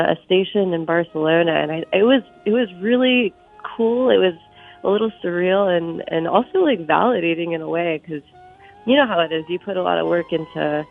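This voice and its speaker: A soft-spoken woman with a stuffy cold, a musician talking as a guest on a live radio phone interview and filling her sentences with easy fillers. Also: lowish voice. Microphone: phone line. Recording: tinny phone line with faint music.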